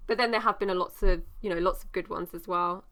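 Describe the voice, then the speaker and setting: A woman's voice: on the low side, steady tone, fast talker, fairly bright tone. A woman co-hosting a casual podcast, talking reflectively with many fillers. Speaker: podcast co-host.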